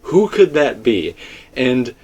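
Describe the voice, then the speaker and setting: A man's voice: medium pitch, very animated, takes it slowly, darkish tone. A man talking through a fan theory in a casual, emphatic and rambling way, full of asides. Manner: emphatic, rambling, speaks in asides and self-quotes. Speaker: video commentator and theorist.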